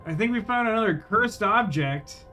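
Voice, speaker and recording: A man with a slightly high-pitched voice commentates his game live over faint music. He talks to viewers casually and thinks out loud in a wry, rambling way. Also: expressive, normal speed. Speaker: video game livestreamer.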